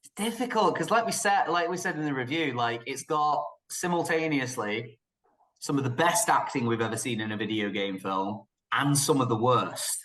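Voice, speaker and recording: A man's voice: medium pitch, animated, moderate pace, fairly bright tone. A man co-hosting a casual film discussion, talking in an opinionated, emphatic way. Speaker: podcast co-host and film reviewer. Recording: roomy, echoey sound.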